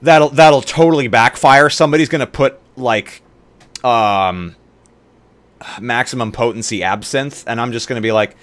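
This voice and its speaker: A man streamer chatting casually on a livestream in a halting way, often restarting his sentences. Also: medium pitch, fairly bright tone, dry recording.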